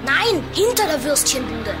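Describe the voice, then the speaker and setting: A woman plays a bookish young boy detective with a very high voice. He explains things precisely and rattles easily, in a children's radio play with music behind him. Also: very animated, a little slow, exaggerated voice. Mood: worried, urgent. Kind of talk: children's audio drama acting.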